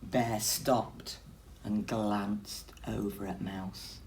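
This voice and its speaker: A woman's voice: a bass voice, level tone, extremely slow, darkish tone, a little hiss or hum. A woman teacher reading a story expressively to young children.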